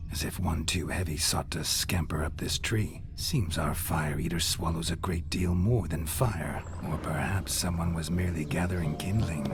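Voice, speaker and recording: An actor playing a pompous man who poses as a gruff monster hunter in a video game, stiff and archaic in his wording. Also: low voice. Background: background music.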